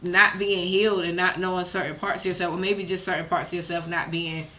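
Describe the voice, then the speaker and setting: A woman's voice: fairly low pitch, level tone, fairly quick, sharp tone. A young American woman talking candidly as an interview guest, informal and emphatic with slangy, salty language. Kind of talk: casual candid interview talk.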